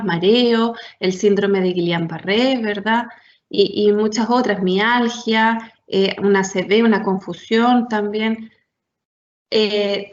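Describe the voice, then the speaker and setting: A woman's voice: medium pitch, slight background noise. A woman giving a university science talk, explaining step by step with frequent tag questions and fillers. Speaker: lecturer. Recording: echoey room, dull lo-fi sound.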